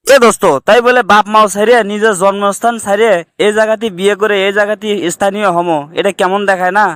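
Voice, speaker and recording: A man's voice: high-pitched, little pitch movement, fast, fairly bright tone, smooth, dry recording. A man voicing a worried, despondent migrant worker in a comic cartoon, talking plaintively in colloquial northern Bangladeshi dialect. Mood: hesitant.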